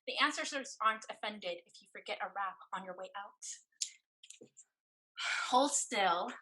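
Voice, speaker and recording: A woman's voice: mid-range voice, expressive, measured pace, pushed and bright, poor audio. A woman acting in a stage play reading as a devoted, cautious sister who blames herself. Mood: teasing, affectionate. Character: anxious, devoted, limping sister, cautious and self-blaming.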